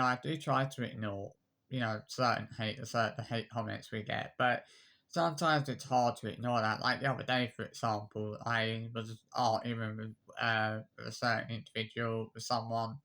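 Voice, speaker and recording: A man's voice: mid-range voice, bright, forceful tone. A man, ranting defensively to camera, repeating himself and listing insults. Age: 20s. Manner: repetitive, restarts phrases, lists insults; aggrieved, defensive. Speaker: YouTube commenter. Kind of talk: unscripted rant to camera.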